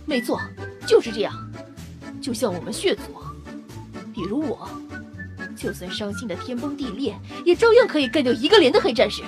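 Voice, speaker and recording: A woman's voice: high-pitched, very animated, moderate pace, pauses often, over music. A woman playing a brash, fiery, outspoken female vampire scientist in an audio drama, with an emphatic, informal manner. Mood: indignant, boastful. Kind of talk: Chinese audio drama acting.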